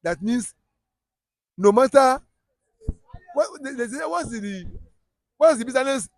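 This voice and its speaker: A Nigerian man with a Yoruba accent delivers heated political commentary in a high voice. He talks emphatically, repeating words and restarting sentences. The sound is close and dry. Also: animated, takes it slowly, no room sound. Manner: emphatic, repetitive, stumbles and restarts often.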